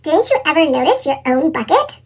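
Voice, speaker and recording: A woman reading as an old grandfather who gently instructs in a storybook, with a sped-up chipmunk effect. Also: sing-song, fairly quick. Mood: gently chiding. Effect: sped-up chipmunk pitch shift.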